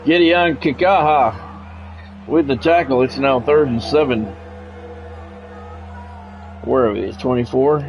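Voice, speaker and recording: A man's voice: medium pitch, takes it slowly, long pauses, highs cut off, faint music behind. A man doing casual sports game commentary, drifting between announcer-style play calls and conversational musing.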